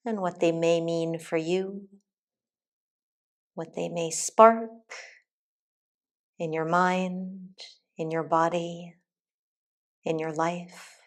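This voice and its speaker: A woman teacher leading a guided meditation in a calm, soothing, deliberate way with long pauses. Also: on the low side. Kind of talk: guided meditation, soft instructional narration.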